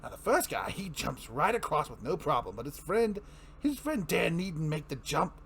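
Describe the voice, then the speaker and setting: A man's voice: fairly high pitch, big pitch swings, a bit bright, slight background noise, pitched above the performer's own voice. A man performing a manic, mocking clown supervillain in a theatrical comic-book dramatic reading, prone to giggles. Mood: amused, manic. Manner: theatrical, drawn-out, swooping, breaking into giggles.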